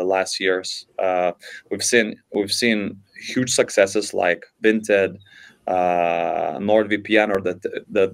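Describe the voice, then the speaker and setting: A man from Lithuania, a podcast guest, answering interview questions fluently, with many repeated starts and fillers. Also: on the low side. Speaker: podcast guest, venture investor.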